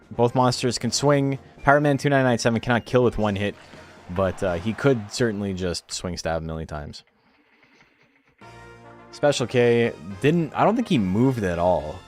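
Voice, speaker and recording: A man with a low, deep voice casually commentating a monster-battling game on a stream. He talks in a relaxed, wry way, tossing off jokes and teasing his chat. Faint music plays quietly in the background. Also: moderate pace.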